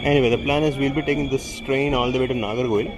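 A man chats casually through a handheld camera mic, pointing things out as he goes and pausing on fillers. His voice sits at an ordinary middle pitch. Loud rumble and clatter from the moving train run under everything he says. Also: steady tone. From India. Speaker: train travel vlogger. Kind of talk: casual travel commentary. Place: on a moving train by an open door. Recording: train noise behind.

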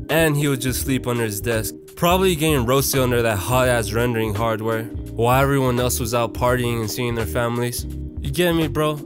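Slangy young man narrating over music. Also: medium pitch. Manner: slangy, profane, irreverent. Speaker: video essayist. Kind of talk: casual opinionated video essay narration.

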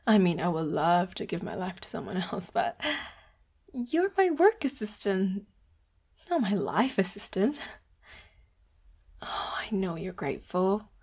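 A woman playing a sweet, secretive boss who is a hidden leopard hybrid in a roleplay audio drama, soft and breathy, often slipping into whispers. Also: mid-range voice, big pitch swings, a little slow, long pauses, exaggerated voice. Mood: kindly, teasing. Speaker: voice actor.

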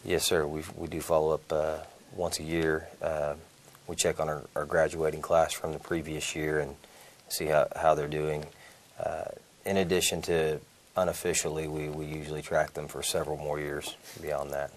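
A man, a welding teacher answering measured questions in a studio interview on a lapel mic. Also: a bass voice, little pitch movement, slight background noise. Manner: measured, with many "uh" fillers. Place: small TV studio.

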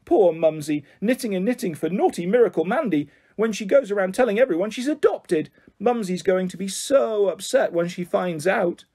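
A cruel young schoolgirl bully in a storybook reading, acted out by a man. The voice is raised and a little husky, and it taunts in mocking, sing-song baby talk. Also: fairly high pitch, very animated, normal speed, a little mellow. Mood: mock-sweet.

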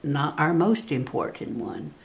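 A woman's voice: low-pitched, expressive, unhurried. An older woman giving a step-by-step drawing tutorial, patiently counting grid squares aloud as she works at a table. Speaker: craft instructor. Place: indoor work table.

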